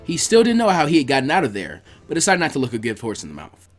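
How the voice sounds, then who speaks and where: Male narrator for fiction reading, animated and brisk, over background music. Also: mid-range voice. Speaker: fan fiction reader, narrator. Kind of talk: scripted story narration, audiobook-like.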